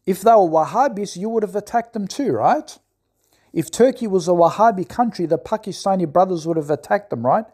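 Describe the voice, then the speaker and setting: A man's voice: high voice, lively intonation, brisk, a little mellow. A man in an impassioned, scolding monologue who keeps asking "right?". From Australia. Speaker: commentator.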